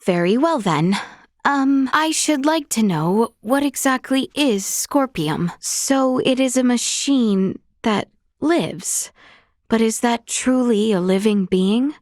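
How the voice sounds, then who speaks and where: Formal, high-voiced young noblewoman. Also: animated, slow, darkish tone, no room sound, studio quality. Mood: polite, inquisitive. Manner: formal, old-fashioned courtly phrasing, precise. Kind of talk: video game character dialogue.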